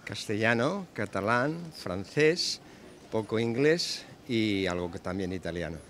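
A man's voice: fairly low pitch, lively intonation, unhurried, a bit bright, slight background noise. An older man answering a street interview outdoors, terse and listing. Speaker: passerby. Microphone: handheld mic.

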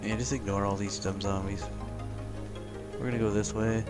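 Low-voiced man chatting while gaming. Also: little pitch movement, normal speed. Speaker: gaming video co-host. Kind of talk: casual co-op gameplay chat. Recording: background music over the voice. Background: loud music.

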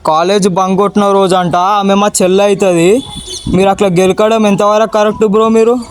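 A man's voice: high-pitched, level tone, fairly quick, a little hiss or hum. A young man with a Telangana Telugu accent making a prank phone call, questioning and accusing in slangy, confrontational speech. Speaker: prank caller.

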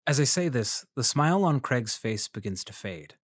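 An actor playing a polite, measured freelance journalist who narrates his investigation in a fiction podcast. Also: mid-range voice, big pitch swings, husky, dry recording, clean studio sound. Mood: somber, observant. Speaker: narrator and lead character.

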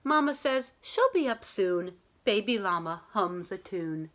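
A woman's voice: medium pitch, lively intonation, measured pace, fairly bright tone. A middle-aged woman reading a picture book aloud to children, expressively, drawing out some words. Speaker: read-aloud host. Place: room at home, indoors.

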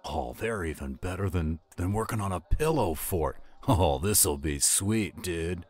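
A man voicing a laid-back but excitable werewolf handyman in a roleplay audio drama, drawing out his dude-style slang. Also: sits low. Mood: eager, excited. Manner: surfer-dude slang, drawn-out enthusiasm.

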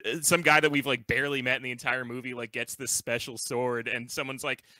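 A man's voice: mid-range voice, flat delivery, brisk, bright, forceful tone, dry recording. A man in casual comedic banter, crude and given to exaggeration. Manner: crude, hyperbolic.

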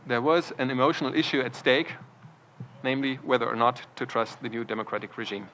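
A man reading an academic history paper aloud in a conference hall, formal, clearing his throat between phrases. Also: medium pitch, fairly even intonation, fairly quick, fairly bright tone, slight background noise.

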